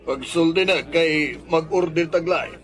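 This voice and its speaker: A man's voice: on the high side, measured pace, lightly acted. A man acting in a Bisaya radio drama as a resentful, strict middle-aged father, stern and dramatic. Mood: stern, dismissive. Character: resentful, strict father ashamed of unwanted daughter.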